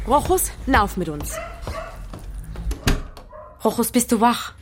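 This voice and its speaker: Headstrong, high-voiced Bavarian woman. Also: big pitch swings, slow, background noise. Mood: earnest, determined. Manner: urgent, insistent, blunt. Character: headstrong, pleading pregnant young Bavarian woman. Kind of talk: radio drama acting in dialect.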